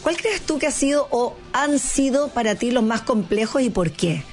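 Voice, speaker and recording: A woman's voice: on the high side, animated, moderate pace, a little mellow, faint music behind. A Chilean woman hosting a TV talk show, with a fairly neutral Chilean accent, gushing and effusive, often repeating her words. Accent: neutral Chilean Spanish. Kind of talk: enthusiastic TV interview.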